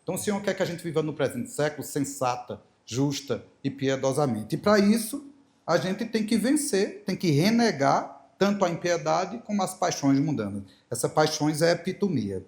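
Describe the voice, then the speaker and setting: A man's voice: a little high, lively intonation, normal speed, darkish tone. A middle-aged man from Paraíba, Brazil, with a Paraíba accent, preaching to a congregation, storytelling with playful asides and emphatic repetition. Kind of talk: church talk with Bible reading.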